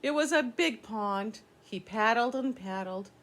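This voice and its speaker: A woman's voice: a little high, animated, unhurried, fairly bright tone. An older woman reading a children's story aloud, explaining things simply as a teacher would to young listeners.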